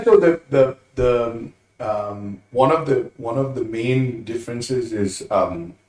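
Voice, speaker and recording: A man's voice: mid-range voice, a little mellow, reverberant, recorded from a distance, rough recording. A man in his late thirties with a mixed, American-leaning international accent and Tamil as his first language, chatting loosely as an interview guest, often restarting his sentences. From Malaysia. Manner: rambling, restarts often, laughs easily. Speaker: guest, business owner. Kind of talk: casual interview chat.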